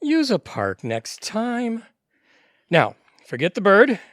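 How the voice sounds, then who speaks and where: A man plays a cocky bachelor gym buddy in a comic audio play. His voice sits fairly high and swings freely as he teases and prods, recorded very dry. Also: wildly swooping pitch, slow pace, fairly bright tone, dry recording, full character voice. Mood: teasing. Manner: bantering, blunt. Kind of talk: audio comedy drama acting.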